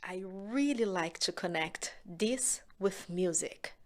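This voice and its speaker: Brazilian woman teaching kids music gently. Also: lowish voice, animated, slow. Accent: Brazilian Portuguese. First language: Portuguese. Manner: gentle, encouraging, often drops to a soft whisper. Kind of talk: children's music lesson.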